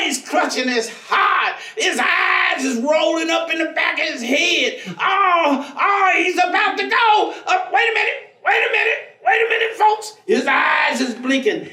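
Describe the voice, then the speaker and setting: An actor playing a folksy, devout former drinker in a staged reading, playful and persistent, telling stories in colloquial speech with theatrical exclamations. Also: male voice, extremely high pitch, bright, forceful tone, heavily acted. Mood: mock-dramatic. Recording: echoey stage, distant microphone.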